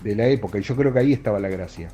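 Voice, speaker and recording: A man reflecting in an interview, in measured, halting phrases. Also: fairly low pitch, warm tone. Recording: music under the voice.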